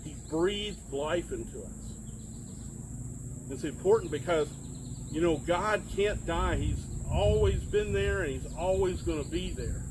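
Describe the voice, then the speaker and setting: Give the part of a friendly Bible patriarch telling stories to children to a man acting it out. His voice sits a little high, and he chats along, putting questions to his young listeners. The recording is outdoors, with clear insect noise around him. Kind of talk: children's storytelling. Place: outdoors among trees and plants.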